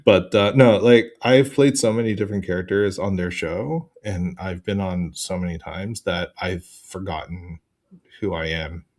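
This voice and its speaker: A man with a slightly low voice talks as a guest on a podcast. He tells his stories in an animated, conversational way and slips in joking asides. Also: a little slow. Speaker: podcast guest, improv voice performer. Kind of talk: casual chat.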